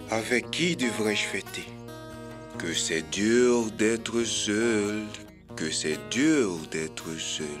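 An actor playing a lonely, mournful giraffe uncle in a children's cartoon, drawing out his sad lines over guitar music. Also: fairly low pitch. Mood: sorrowful singing. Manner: slow, mournful, drawn-out. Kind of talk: French-dubbed children's cartoon, sung lament. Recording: music behind the voice.

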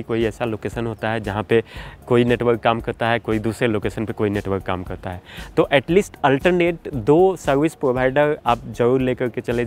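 A man in a casual vlog, explaining car tips in a conversational way, often dropping in English fillers like "you know" and "okay". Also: medium pitch. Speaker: car vlogger.

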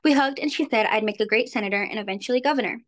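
Fast-reading teen girl, high voice. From New Mexico, USA. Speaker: youth speaker. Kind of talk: reading a prepared speech. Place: indoors, virtual background. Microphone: video call webcam.

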